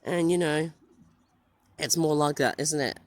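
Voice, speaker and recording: A man with a croaky, hoarse voice from the flu, rambling and hesitant as he gives a casual running commentary while plane spotting outdoors by an airport runway. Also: on the high side, steady tone, measured pace. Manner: rambling, hesitant, filler-heavy.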